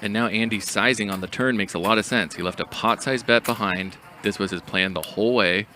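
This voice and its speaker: A man narrating a scripted poker hand breakdown as a commentator, in a measured, explanatory way. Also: lowish voice, sharp tone, a little hiss or hum.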